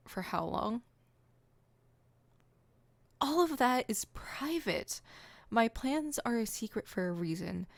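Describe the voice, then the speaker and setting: A woman's voice: fairly low pitch, gravelly, no echo. A woman voicing an exasperated, proud but secretly caring supervillain in an ASMR roleplay, with an indignant, wordy, dry delivery.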